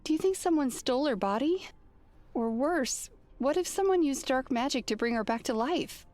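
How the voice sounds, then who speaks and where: A genteel lady in distress from a mystery game, with a high-pitched voice. Her delivery is earnest and dramatic, full of anxious worry. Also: expressive, normal speed, a little mellow, dry recording, soft background music. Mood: fearful wondering. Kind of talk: theatrical game cutscene acting.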